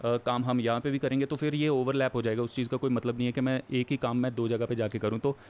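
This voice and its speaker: A man co-hosting a casual podcast chat, joking around and mixing Hindi with English, with "uh" pauses as he explains. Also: medium pitch, level tone, a little mellow, clean tone. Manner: explains plainly with filler "uh" pauses. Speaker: podcast co-host.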